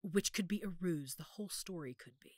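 A woman playing a commanding, calculating werewolf pack mother in an audio drama, deliberate and decisive. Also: lowish voice, darkish tone, no echo, studio quality, lightly acted. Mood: grim, reasoning.

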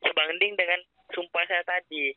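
A man with a slightly high voice talks over a thin, narrow phone line with no room sound. He is making a persuasive pitch, insistent yet hesitant as he goes. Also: fairly even intonation, moderate pace, heavy background noise. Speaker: phone caller claiming to be a prize official. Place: on a phone call.

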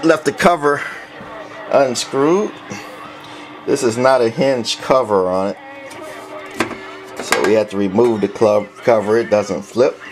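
A man's voice: medium pitch, takes it slowly, pauses often. A man giving a casual equipment walk-through in terse, matter-of-fact phrases, recorded indoors from behind a camcorder. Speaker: radio seller showing equipment.

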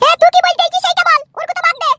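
A woman's voice: very high voice, little pitch movement, rapid-fire, loud music behind the voice. A woman voicing a touchy, nagging village wife and mother in a comic cartoon, with a rural West Bengal accent. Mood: snappish.

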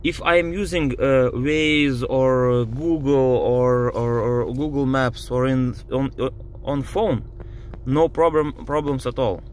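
A man with a Lithuanian accent talks slowly and haltingly, filling his pauses with "uh" as he voices frustration. He is recording inside a car. A faint vehicle hum sits under his voice. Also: medium pitch. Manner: frustrated, halting, many fillers. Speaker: driver demonstrating a car app. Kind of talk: casual complaint video.

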